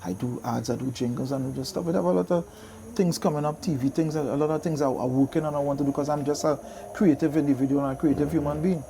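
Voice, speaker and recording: Man in his fifties, Trinidadian accent, very quick talker, mellow tone, emphatic repetition, casual interview, some background noise. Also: a little high, fairly even intonation, vocal fry. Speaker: interview guest, soca singer and DJ.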